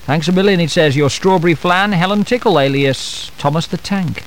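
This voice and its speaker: A man's voice: fairly high pitch, moderate pace, slight background noise, low-quality recording. A man hosting breakfast radio, reading out birthday letters with cheeky, teasing, rambling banter. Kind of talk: jokey morning radio patter.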